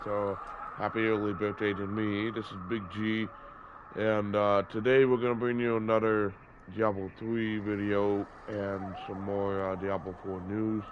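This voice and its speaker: Low-voiced guy in his 30s with a cold, all stuffed up, rambling game talk, dull lo-fi audio w/ noise behind. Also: flat delivery, measured pace. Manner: rambling, many fillers, corrects himself. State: stuffy from a cold, sore throat. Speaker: gaming video host. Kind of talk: casual gameplay commentary.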